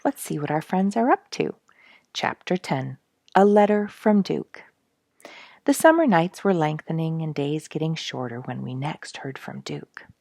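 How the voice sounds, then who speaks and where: A woman's voice: sits low, animated, measured pace, a little mellow, dry recording. A woman reading a chapter book aloud to children, greeting her young listeners in a friendly, chatty way. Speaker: children's story reader.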